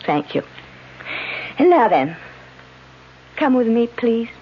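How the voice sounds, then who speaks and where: An actress playing a gracious, serene, mysterious woman who tends a heavenly garden, speaking with gentle formality in a radio drama. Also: on the high side, very animated, slow pace, a little hiss or hum. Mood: gentle, inviting. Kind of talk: 1970s radio drama acting. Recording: dull lo-fi old radio recording. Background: music.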